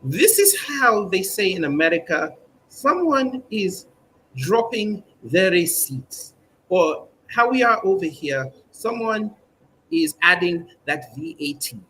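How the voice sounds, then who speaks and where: A man's voice: sits high, sing-song, slow, a bit bright, a little hiss or hum, poor audio. A man hosting a comedic mock newscast in a stilted, formal newsreader manner, drawing out his words. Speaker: mock news presenter on a livestream.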